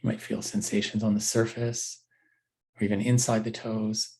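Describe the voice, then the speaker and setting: Low-voiced man guiding a meditation. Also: flat delivery. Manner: gentle, unhurried instruction with frequent pauses. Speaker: meditation teacher. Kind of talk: guided body scan meditation.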